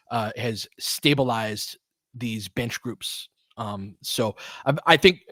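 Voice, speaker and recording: A man's voice: mid-range voice, fairly bright tone, no room sound. Casual man calling a game, dry close sound. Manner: informal, conversational, chatting with listeners. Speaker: live audio play-by-play host. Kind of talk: casual fan play-by-play commentary and analysis.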